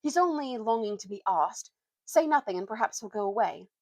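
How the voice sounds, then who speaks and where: A woman's voice: fairly high pitch, lively intonation, brisk, darkish tone, dry recording. A woman voicing an impatient, dismissive schoolboy with a curt, exasperated manner in a storybook reading.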